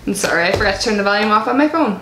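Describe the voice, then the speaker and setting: A woman's voice: medium pitch, expressive, very fast, reverberant, background noise. A young woman chatting casually as she unboxes a subscription box, her voice nasal from allergies and full of "like" and "um.". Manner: chatty and bubbly, lots of likes and ums. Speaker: unboxing vlogger.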